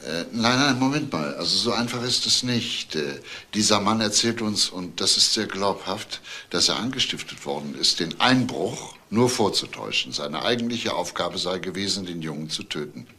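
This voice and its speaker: A police detective in a crime radio play, probing and unhurried. His voice is very deep and gravelly, with a forceful edge. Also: animated, full character voice. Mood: probing, measured. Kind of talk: naturalistic crime drama dialogue.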